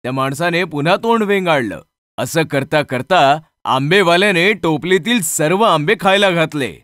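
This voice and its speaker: A man's voice: a little high, lively intonation, moderate pace, a bit bright, dry recording, clean studio sound. A man narrating a scripted horror story in a dramatic storytelling style.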